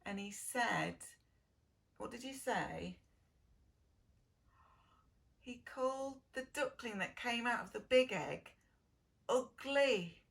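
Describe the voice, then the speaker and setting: A woman reads to preschoolers as their teacher. Her pitch rises and falls freely and her pace is leisurely. She speaks in an exaggerated sing-song, pausing to put gentle questions to small children. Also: animated, vocal fry. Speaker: preschool early years teacher reading a story. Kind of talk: children's storytelling, addressing preschoolers.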